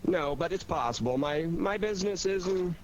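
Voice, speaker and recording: A man's voice: high-pitched, animated, normal speed, lo-fi sound, a little hiss or hum. A man playing a detached, philosophical murder suspect in a radio drama, dry and guarded. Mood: cool, guarded. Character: cool, aloof, philosophical male murder suspect.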